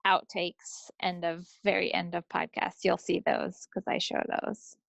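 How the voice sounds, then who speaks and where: A woman's voice: lowish voice, little pitch movement, unhurried, creaky, dry recording. A woman co-hosting a craft podcast in a casual, conversational style. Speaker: podcast co-host. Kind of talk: casual chat about craft projects.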